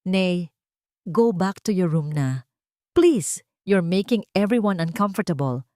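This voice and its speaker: A woman's voice: lowish voice, expressive, a little slow, mellow, no room sound, clean studio sound. Woman voicing a put-upon, two-faced daughter-in-law. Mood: dismissive. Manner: mixes English and Tagalog, put-upon. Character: falsely patient, cruel daughter-in-law feigning exhaustion.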